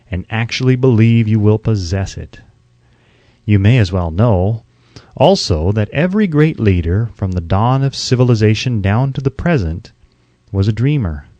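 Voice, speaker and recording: A man narrating a scripted self-help audiobook in a measured, emphatic, instructional way. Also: fairly low pitch, dark tone, no room sound, lo-fi sound.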